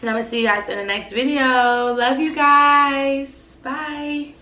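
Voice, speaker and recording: A woman's voice: high-pitched, flat delivery, a little slow, bright, forceful tone. A young woman vlogger with a stuffy nose, chatting casually and rambling with lots of "like" and "y'all". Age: young adult. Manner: rambling, filler-heavy, frequent "like" and "y'all". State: stuffy nose, sick with a cold-like illness. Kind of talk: casual chat to camera. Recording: echoey room, voice a bit far from mic.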